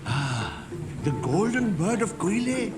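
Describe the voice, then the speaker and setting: An eccentric male potion-maker in a fantasy game. His theatrical voice swings up and down from a medium pitch, busy and excitable, with loud music behind. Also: slow pace, full character voice. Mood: excited. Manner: theatrical, animated. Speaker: game character. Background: loud background music.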